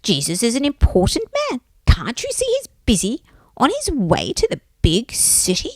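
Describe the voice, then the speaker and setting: A woman's voice: a little high, huge pitch swings, moderate pace, a little mellow, no echo, exaggerated voice. A woman voicing a self-assured, matter-of-fact man from a crowd in a children's story, brusque and a little dismissive.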